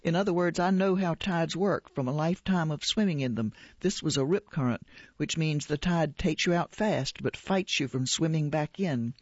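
A woman reading aloud as an older Albanian-born photographer recalling a sea rescue, in a plain steady way. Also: a little high, normal speed, no echo, close to an everyday voice. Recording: dull lo-fi radio recording.

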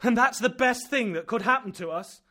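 A man voicing a terrified young man who shouts frantically. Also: medium pitch, lively intonation, quick pace. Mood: frantic. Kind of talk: audiobook character reading.

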